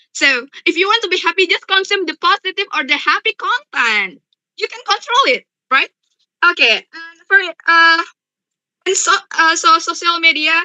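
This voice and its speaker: Bright high young woman debater, dry sound. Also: no echo, rough recording. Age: young adult. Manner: halting, self-correcting, rhetorical questions. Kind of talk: competitive debate speech.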